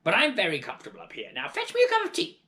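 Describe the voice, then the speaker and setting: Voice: high-pitched, huge pitch swings, very fast, pitched above the performer's own voice, full character voice. Screechy ancient grandma. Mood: scornful. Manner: shrieking, gleeful bossy shouts. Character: screechy scrawny ancient grandmother, wild and boastful. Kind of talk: storybook read-aloud.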